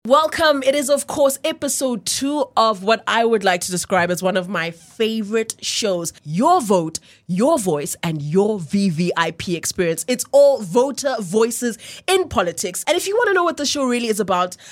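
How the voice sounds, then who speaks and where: Lively South African woman hosting. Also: fairly high pitch, moderate pace. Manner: upbeat, animated, slangy. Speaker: podcast host. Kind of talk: casual studio podcast chat. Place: studio.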